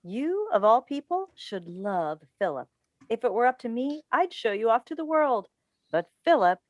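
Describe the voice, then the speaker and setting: A woman's voice: a little high, very animated, moderate pace, no room sound, dull audio. Woman acting a driven mother, dryly recorded. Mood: insistent. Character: driven, needling mother and radio advice host turning to television. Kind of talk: staged play reading. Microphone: video call. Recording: dry video call recording.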